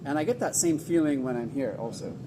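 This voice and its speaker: Man, event organizer, interviewer, upbeat, clear background noise. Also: on the high side, quick pace. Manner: enthusiastic, upbeat. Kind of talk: casual interview.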